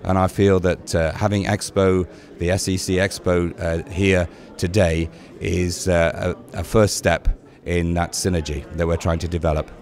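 A man's voice: low voice, flat delivery, normal speed, darkish tone. An older man, a college principal, giving a hesitant interview answer into a handheld microphone in a large hall.

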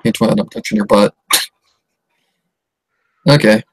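A man's voice: fairly low pitch, unhurried, breathy edge, no echo. A man hosting a livestream and chatting casually while playing a game, giggling and reacting to the dialogue. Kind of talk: casual gameplay commentary.

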